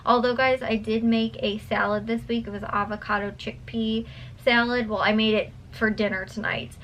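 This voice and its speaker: A woman's voice: fairly high pitch, little pitch movement, moderate pace, fairly bright tone, slight background noise. A tired young woman vlogger chatting casually to her viewers at home at night, rambling with lots of fillers and restarts, her voice a little worn. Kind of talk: casual chat to camera.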